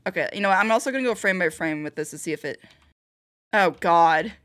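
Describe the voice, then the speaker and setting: A woman's voice: fairly low pitch, expressive, fast, fairly bright tone. A woman hosting a reaction video, thinking aloud in rambling, casual commentary with bursts of exclamation.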